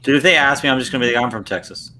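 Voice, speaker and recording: A man's voice: medium pitch, racing, sharp tone, slight background noise. An American man co-hosting a podcast, bantering in easy casual chat. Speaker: podcast co-host.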